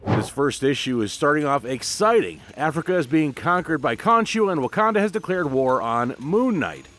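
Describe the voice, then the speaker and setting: Animated male comic recap narrator. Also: fairly high pitch, moderate pace, soft background music. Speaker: comic book recap host. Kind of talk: semi-scripted narration with casual commentary.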